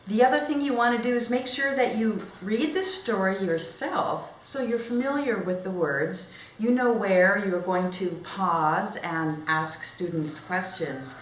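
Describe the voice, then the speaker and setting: A woman speaks slowly in a roomy, echoing space, as a teacher would for learners. Her pitch sits in an ordinary middle range, and her phrasing is simple and expressive, suited to reading aloud and instructing. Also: slight background noise. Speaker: teacher trainer. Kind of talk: read-aloud storytelling and teaching tutorial. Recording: echoey room.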